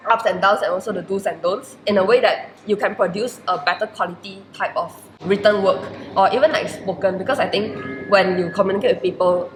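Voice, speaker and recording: Twenties malaysian girl, chinese first language, thoughtful rambly interview answers, echoey room. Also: medium pitch. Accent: Malaysian English. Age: 20s. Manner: reflective, many "like" fillers. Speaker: university student guest. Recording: roomy echo with faint noise.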